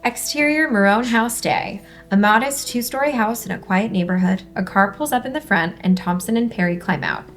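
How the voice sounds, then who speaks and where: A woman with a mid-pitched voice works as a host and script reader. She speaks in an upbeat, conversational presenting style, moving easily between discussion and narrated scene-setting. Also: moderate pace, hardly any pauses, faint music behind. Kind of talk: upbeat hosted discussion and stage-direction reading.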